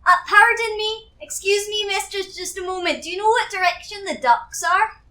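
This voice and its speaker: A woman's voice: very high voice, normal speed, bright, forceful tone, voice pushed up. A woman voicing a polite, eager young newcomer in a tabletop game, speaking hesitantly and courteously.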